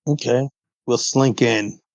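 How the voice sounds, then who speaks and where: A man's voice: medium pitch, slow pace, no room sound. A man in a casual tabletop roleplaying game with a dry, grumbling delivery, on a slightly muffled close mic.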